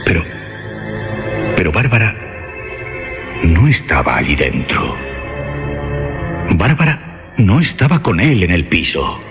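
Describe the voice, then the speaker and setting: A man narrating a horror radio drama in halting, emphatic, suspenseful phrases. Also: low voice, very animated, vocal fry. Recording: dull lo-fi radio sound, loud music. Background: loud music.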